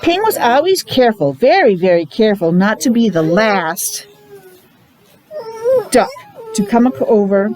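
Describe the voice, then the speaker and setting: A woman, a teacher reading a picture book aloud to young children, pausing to explain and ask questions in simple words. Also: fairly high pitch. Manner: child-directed, asking questions, repeating words for emphasis. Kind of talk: animated read-aloud with casual explanatory asides.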